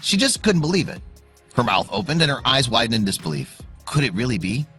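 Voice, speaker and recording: A man's voice: medium pitch, sing-song, fairly quick, bright, forceful tone. A man narrating a scripted dramatic story in a suspenseful, emphatic way. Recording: music under the voice. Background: background music.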